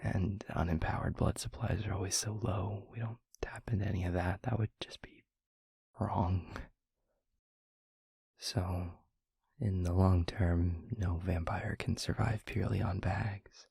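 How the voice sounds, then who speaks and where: A man playing a gentle, protective vampire boyfriend in an intimate ASMR roleplay, speaking softly and reassuringly in a breathy half-whisper with hesitant pauses. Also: sits low, fairly even intonation, no room sound, exaggerated voice. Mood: gently explaining.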